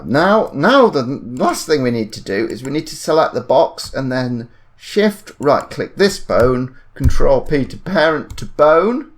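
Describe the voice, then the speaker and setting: Guy doing a software tutorial, real slow and unhurried, pitch goes up and down a lot, thinks out loud. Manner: thinking aloud, self-correcting. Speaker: tutorial presenter. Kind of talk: unscripted software tutorial narration.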